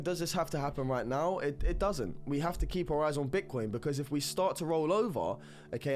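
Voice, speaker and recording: A man's voice: on the high side, a little mellow. A man with a stuffy nose from a cold, talking through crypto charts in a rambling, upbeat way and repeating words. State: congested with a cold. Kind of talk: screen-recorded technical analysis talk.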